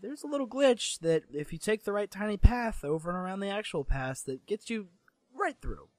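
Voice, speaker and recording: A man's voice: sits high, expressive, normal speed, darkish tone, no room sound. A man voicing a brash, teasing young male gamer friend in a visual novel. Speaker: voice actor in a fan dub.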